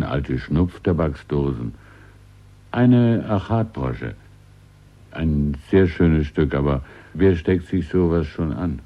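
An actor in a radio play voicing a courteous, melancholy old shopkeeper, speaking gently and hesitantly in a husky voice. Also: male voice, rumbling low, heavily acted. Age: older. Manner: gentle, wistful, hesitant, confiding. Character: courteous, melancholy old antique shop keeper. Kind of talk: German radio play acting. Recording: dull, lo-fi old radio production.

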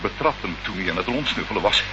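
An actor playing a frightened small-time crook in a radio play, pleading, stammering and evasive. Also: male voice, sits low, animated, fast. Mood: cornered, pleading. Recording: muffled, noisy old radio recording.

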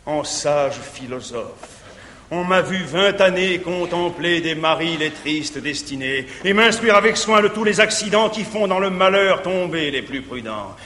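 A man's voice: fairly high pitch, lively intonation, measured pace, bright, forceful tone, slight background noise. A bitter, jealous middle-aged man. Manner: brooding, declamatory. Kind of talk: declaimed verse stage acting. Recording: echoey 1959 theatre recording.

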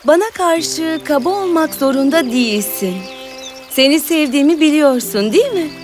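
A woman playing a gentle, patient young elder sister in a children's fairy tale, speaking earnestly. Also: high-pitched, animated, moderate pace, soft background music. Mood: gentle, hurt.

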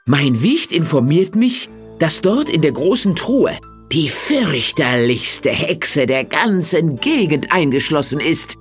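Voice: medium pitch, very animated, takes it slowly, soft background music. A man voicing a cheeky young trickster in a teasing, theatrical storybook manner. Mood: mysterious, warning.

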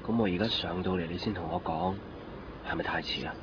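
An actor playing a lonely, wistful young student in a radio drama, with a Hong Kong accent and a hushed, reflective delivery. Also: male voice, deep voice, fairly quick, noisy background, lightly acted. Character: wistful lonely young man, anxious lovelorn student traveller. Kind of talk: radio drama monologue and dialogue. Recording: muffled radio recording with prominent music.

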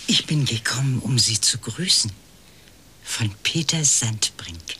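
An actress playing a polite, hesitant elderly lady, a detective's client in a radio crime drama, speaking in measured, breathy phrases. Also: a bass voice, expressive, bright, forceful tone, slight background noise, heavily acted. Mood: polite, reserved. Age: 60s or older.

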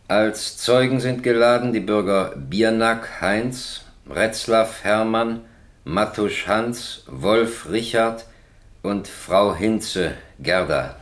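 An actor playing a stern, formal presiding judge with an official, commanding manner in a radio drama. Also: male voice, fairly low pitch. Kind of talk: radio courtroom drama acting.

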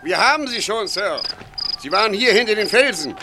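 A man's voice: sits high, big pitch swings, fairly quick, noisy background. A man playing a dutiful police officer in an audio drama, eagerly reporting to a superior. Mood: dutiful, brisk.

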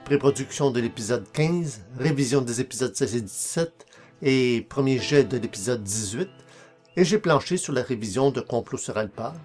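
A man's voice: mid-range voice, a little mellow. A man with a Quebec French accent presenting a podcast as its host in a scripted narration style.

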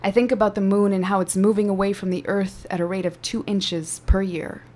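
A woman's voice: mid-range voice, level tone, dark tone. Afrikaans-speaking woman poet. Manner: slow dramatic poetic delivery. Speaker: spoken word poet and teacher. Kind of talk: poetry performance and conversation.

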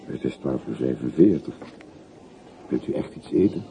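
A man's voice: a bass voice, expressive, moderate pace, vocal fry, dark tone, slight background noise, heavily acted. A man acting in a radio play as a reserved, dutiful companion, terse and polite, asking probing questions. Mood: dutiful, matter-of-fact. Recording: muffled, phone-like old radio recording.

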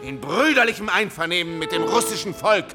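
An actor playing a defiant prisoner of war who declaims with solemn, impassioned emphasis in a German radio drama. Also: male voice, sits high, expressive, a little fast. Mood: solemn, fervent. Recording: music behind the voice.

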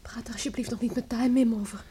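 A woman's voice: on the high side, steady tone, fast talker, mellow, natural voice. An actress playing an anxious, tender-hearted young village woman in a radio play, with an emotional, pleading manner. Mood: worried, hushed. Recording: faint noise and clicks, old radio recording.

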